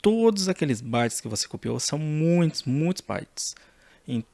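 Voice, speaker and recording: A man with a Brazilian accent giving an informal, step-by-step technical demonstration in a halting, explanatory way. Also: on the high side, very animated, creaky, warm tone, no room sound. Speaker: electronics tutorial presenter.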